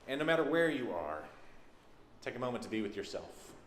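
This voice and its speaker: A man's voice: mid-range voice, big pitch swings, fairly quick. A man with a Texas accent, a minister giving a conversational, folksy welcome to a congregation at a church service. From Texas, United States. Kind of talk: informal spoken welcome to a congregation. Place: large church sanctuary, indoors. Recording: echoey sanctuary with background noise.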